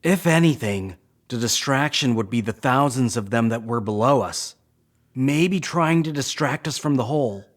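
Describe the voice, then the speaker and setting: A man's voice: medium pitch, animated, normal speed, natural voice. Wary male spaceship crew member actor, mid-range voice, suspicious tone, sci-fi radio play. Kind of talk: sci-fi audio drama acting.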